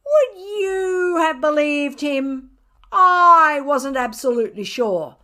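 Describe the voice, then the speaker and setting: A woman voicing a grumpy, sardonic pet cat in an indignant, self-justifying storybook narration with drawn-out emphasis. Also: high-pitched, animated, pushed and bright, pitched above the performer's own voice, full character voice. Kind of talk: expressive children's storybook reading.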